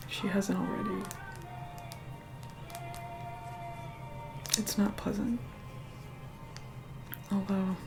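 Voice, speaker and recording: A woman playing an aloof, vain young vampire in a soft whispered ASMR roleplay, unhurried, dry and mildly condescending. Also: poor audio. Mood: wistful, guarded. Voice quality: soft, breathy whispering mixed with low murmur. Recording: music playing behind close whispered voice.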